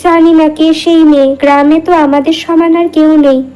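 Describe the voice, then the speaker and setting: A woman's voice: sits high, little pitch movement, moderate pace, warm tone, lightly acted, low-quality recording. A woman voicing an agreeable, earnest village wife and mother in a cartoon. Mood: worried. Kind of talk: cartoon dialogue.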